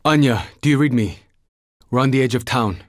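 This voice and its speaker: A man's voice: medium pitch, fairly quick, no room sound, studio quality, natural voice. A man voicing a young survivor in a zombie video game, terse, earnest and urgent. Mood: guarded, low-key. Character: young Japanese male survivor fighting a zombie horde, urgent, determined. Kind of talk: video game voice lines, dramatic combat barks.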